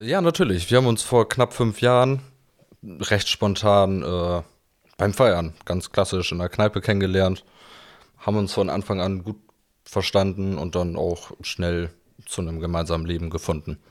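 A man's voice: low-pitched, moderate pace. A man in his late twenties, a groom being interviewed, answering briefly and plainly. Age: 20s. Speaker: groom, guest.

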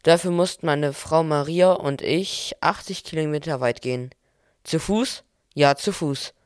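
A poor, tired husband played by a man tells his tale in a nativity audio play. His voice is slightly high and smooth, with plain, measured delivery in a dry recording. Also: dry recording, close to an everyday voice. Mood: weary, resentful. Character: Joseph, poor weary husband and new father. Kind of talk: scripted nativity radio play monologue.

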